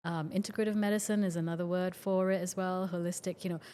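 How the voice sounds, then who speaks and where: A woman in her forties from Singapore chats easily as a podcast guest, her voice sitting a little on the low side. She talks in a loose, conversational flow full of small fillers. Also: fast talker, a little hiss or hum. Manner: chatty, relaxed, frequent fillers. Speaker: guest, functional medicine doctor. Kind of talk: casual interview talk.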